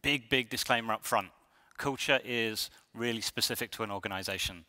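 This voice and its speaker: A man's voice: on the low side, a little fast, sharp tone. A man giving a conversational conference talk, with frequent ums and restarts. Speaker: conference speaker. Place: lecture hall. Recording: reverberant hall.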